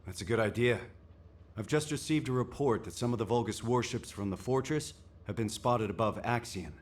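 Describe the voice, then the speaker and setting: An actor playing a composed, authoritative male military commander giving formal, measured briefings in a video game. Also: medium pitch, natural voice. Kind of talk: video game cutscene acting.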